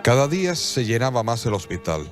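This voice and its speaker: A man giving solemn, scripted radio drama narration with measured, dramatic pauses. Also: mid-range voice, very animated, over music.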